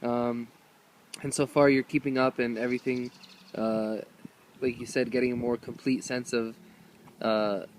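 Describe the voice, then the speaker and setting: A man's voice: medium pitch, little pitch movement, measured pace, long pauses, slight background noise, poor audio. A man interviewing a guest, asking questions and murmuring agreement. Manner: soft backchannel murmurs. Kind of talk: casual interview.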